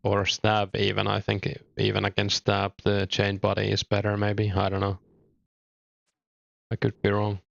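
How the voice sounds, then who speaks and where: A young man from Finland with a mild Finnish accent, chatting casually with viewers while streaming a game, relaxed and thinking aloud with small hesitations. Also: fairly low pitch, measured pace, creaky, no echo, lo-fi sound. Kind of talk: casual chat reading viewer comments.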